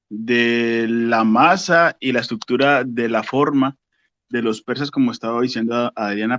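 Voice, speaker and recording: A man in his forties from Colombia, speaking slowly and thoughtfully with pauses and fillers as a podcast guest. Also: medium pitch, a bit bright, no room sound, lo-fi sound. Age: 40s. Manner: slow, thoughtful, pausing with fillers. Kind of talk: casual podcast chat.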